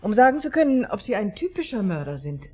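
An actress playing an outspoken, cutting wife in a German radio drama, with a dry, pointed manner. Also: female voice, mid-range voice, big pitch swings, fast, darkish tone, slightly rough. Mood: cool, cutting.

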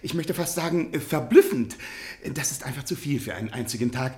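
An actor playing a timid, hesitant professor who stammers with frequent pauses, in a radio play. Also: male voice, mid-range voice, expressive, darkish tone, close to an everyday voice. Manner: hesitant, stammering, easily bewildered. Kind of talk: 1950s radio play acting.

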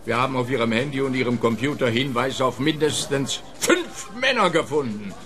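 An actor playing a stolid, self-important policeman in a radio crime play, speaking with emphatic, official, halting phrasing. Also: mid-range voice, expressive, a bit bright, lightly acted.

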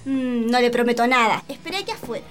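An actress with a Chilean accent playing a flustered, deferential hacienda housekeeper in a radio drama, anxious and exclamatory. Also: female voice, a little high, animated, brisk, music in the background, natural voice. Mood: wary. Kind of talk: Spanish-language radio drama acting.

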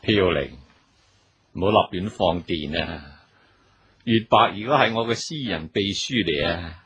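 A man's voice: low-pitched, expressive, measured pace, fairly bright tone, husky, no room sound, exaggerated voice. A man playing a brash, worldly, teasing boss in a radio drama, with a swaggering manner. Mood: bossy. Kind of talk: Cantonese radio drama acting.

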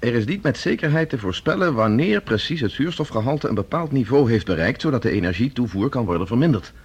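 An actor playing a cautious, sober professor with measured, reasoned delivery in a radio play. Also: male voice, medium pitch, expressive, husky, highs cut off. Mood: reasoning. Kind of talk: Dutch radio play dialogue.

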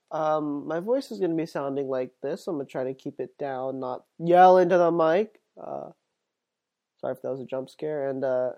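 A congested man talks into the mic while under the weather, his voice sniffly and a little stuffed up. He hosts a casual solo sports show, rambling along with lots of uh and um. His pitch sits at an ordinary middle height for a man. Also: mellow. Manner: rambling, full of uh and um fillers and restarts. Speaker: podcast host.

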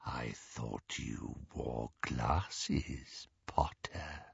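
A predatory werewolf boss for an audiobook, really deep growly rasp, slow n whispery, creepy threatening. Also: male voice, lively intonation, pushed and bright, dry recording, lo-fi sound, exaggerated voice. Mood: quiet menace. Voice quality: raspy, growling, breathy whisper. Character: menacing, predatory werewolf gang leader, adult male.

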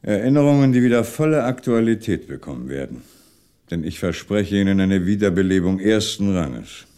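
An actor playing a shrewd French police commissioner in a 1960s German radio crime drama, questioning in a measured way with pointed pauses. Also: male voice, on the low side, expressive, mellow, clean tone, lightly acted. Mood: quietly menacing.

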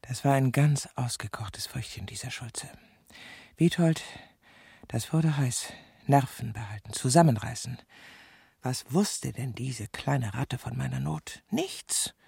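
An actress playing a bitter, jealous middle-aged woman with secret murderous spite in a radio drama, speaking in a low, gravelly, often whispery voice with clipped, venomous control. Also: expressive, slow pace, mellow, no room sound, clean studio sound, heavily acted. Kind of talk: intimate radio drama monologue and dialogue.

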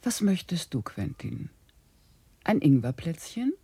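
A woman playing a gentle, practical aunt in a German radio drama, speaking soothingly and matter-of-factly. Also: deep voice, sing-song, slow pace, gravelly, breathy edge, exaggerated voice. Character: gentle, practical aunt, calming her sister.

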